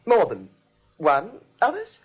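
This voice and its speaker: An actor playing a flustered, frantic nephew who sputters and repeats himself in disbelief, in 1940s screwball comedy style. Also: male voice, a little high, wildly swooping pitch, takes it slowly, slightly rough, exaggerated voice. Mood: flabbergasted, panicked. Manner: sputtering, repeats words, incredulous. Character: frantic, flustered nephew, a theatre-going writer. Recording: muffled, dull vintage 1940s soundtrack.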